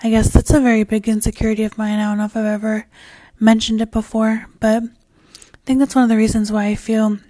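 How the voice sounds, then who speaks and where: A woman hosting her own podcast in a casual, rambling solo chat, her voice raspy and hoarse as she loses it, with plenty of fillers and restarts. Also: on the high side, flat delivery, fairly quick, hardly any pauses, mellow, no room sound. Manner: swears casually. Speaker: podcast host.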